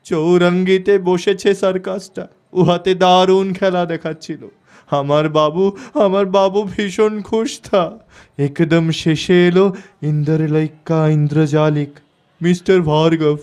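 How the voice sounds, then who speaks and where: Slow, high-voiced distraught father. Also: steady tone, a little mellow, clear, resonant tone. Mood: grieving, recounting. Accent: Bihari Hindi. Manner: pleading, mixes in Hindi words. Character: distraught poor father from Bihar whose child is missing. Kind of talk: Bengali audio story acting.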